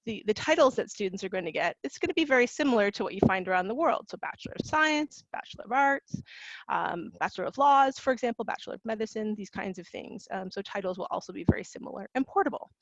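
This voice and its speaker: A woman's voice: medium pitch, expressive, fast, a bit bright, no echo, lo-fi sound. A Canadian woman presenting on a video call, conversational, with frequent fillers and restarts. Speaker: university recruitment officer. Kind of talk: webinar presentation.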